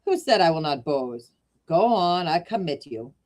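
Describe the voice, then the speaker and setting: A fierce little old painter with a thick German accent, scoffing and blustering in a low, gruff voice, as a woman reading a story puts him on. He sounds like a cranky old man from a storybook. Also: very animated, measured pace, a little mellow. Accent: German-accented English, put on.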